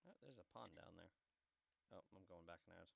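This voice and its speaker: A man's voice: low-pitched, lively intonation, brisk, vocal fry. A man giving casual, dry and mischievous commentary as he plays a game. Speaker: gamer, let's play host.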